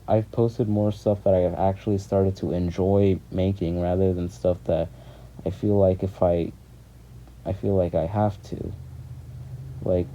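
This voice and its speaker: A man's voice: deep voice, mellow. A man talking casually to his viewers as a video creator, rambling hesitantly and often repeating himself. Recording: muffled lo-fi mic with clear background noise.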